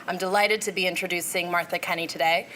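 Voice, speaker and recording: Lower-voiced woman reading an introduction. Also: fairly even intonation, fast talker, a bit bright, slight background noise. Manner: smooth, formal, read-aloud delivery. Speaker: postdoctoral researcher introducing the speaker. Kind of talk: read introduction. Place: lecture room.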